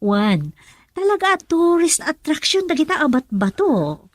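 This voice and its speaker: An outspoken young woman in a radio drama. Her voice sits high, and she talks like someone easily irked. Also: big pitch swings, unhurried, no echo. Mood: chatty. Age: young adult. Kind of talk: Ilocano radio drama acting.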